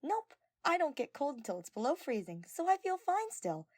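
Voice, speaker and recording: A woman voicing an energetic, devoted teenage girl in an audio story, earnest and gushing and easily flustered. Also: high-pitched, moderate pace, no echo, voice pushed up. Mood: eager, caring.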